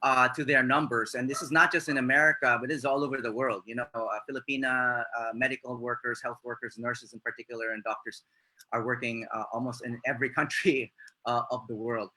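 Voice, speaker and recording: A man moderating a panel discussion, halting and dotted with fillers. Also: mid-range voice, little pitch movement, bright, forceful tone, low-quality recording.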